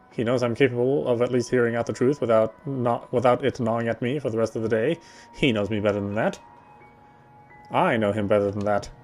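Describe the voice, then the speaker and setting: A man with a medium-pitched voice reads story narration aloud as a game streamer. He now and then trips on a phrase and reads it again. Soft game music plays faintly behind him. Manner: stumbles and rereads phrases.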